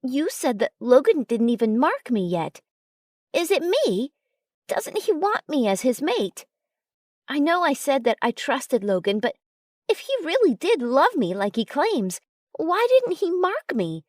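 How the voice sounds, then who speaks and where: A woman's voice: sits high, sing-song, unhurried, no room sound, studio quality, pitched above the performer's own voice. A woman voicing a shy, insecure young woman in love, earnest and unsure, in a story. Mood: worried.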